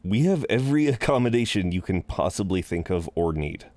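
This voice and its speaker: A man playing a courteous, chatty incubus innkeeper in an intimate audio roleplay, with polite, hesitant pauses and a flirtatious streak. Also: low voice, sing-song, a little mellow, no echo. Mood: courteous, amused. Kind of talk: intimate scripted roleplay acting.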